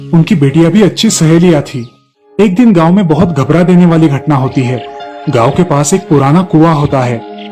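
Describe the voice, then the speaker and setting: A man's voice: on the high side, a little mellow. A man narrating a scripted moral story for children as the storyteller. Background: music.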